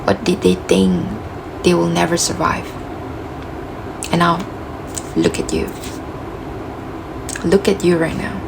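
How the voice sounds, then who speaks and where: A woman with a gravelly voice giving a casual, reflective self-help talk, full of "you know" and "like", her voice cracking as she loses it. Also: fairly low pitch, sing-song, slow pace, long pauses, background noise. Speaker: motivational speaker.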